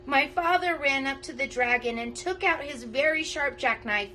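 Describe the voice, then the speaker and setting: A woman reading a children's storybook aloud in an animated, emphatic way. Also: high voice, normal speed, a little hiss or hum, poor audio. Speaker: parent volunteer reading aloud to schoolchildren. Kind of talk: expressive children's storybook narration.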